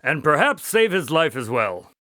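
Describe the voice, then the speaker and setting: An actor playing an easygoing, practical detective's sidekick in a radio drama, casually reasoning aloud. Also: male voice, a little high, very animated, moderate pace. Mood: wry, agreeable. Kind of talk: modern radio drama acting.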